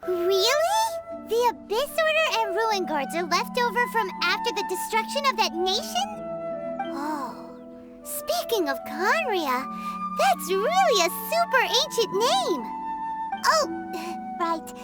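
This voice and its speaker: A woman's voice: very high voice, very animated, slow, loud music behind the voice, heavily acted. A woman voicing a tiny, chirpy female fairy who serves as a guide companion. She speaks in a bubbly, childlike and inquisitive way. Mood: curious. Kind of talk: video game cutscene voice acting.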